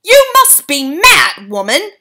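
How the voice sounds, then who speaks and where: A woman voicing a blustering middle-aged English father who shouts and stammers in fury. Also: very high-pitched, expressive, slow pace, pitched above the performer's own voice, exaggerated voice. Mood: furious. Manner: loud, spluttering, stammering.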